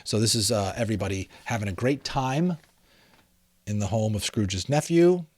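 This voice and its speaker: A man's voice: fairly low pitch, expressive, moderate pace, darkish tone, no room sound. A middle-aged man giving a dramatic, emphatic storybook narration, with casual explanatory asides. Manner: theatrical, emphatic, breaks off to explain. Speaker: amateur audiobook reader.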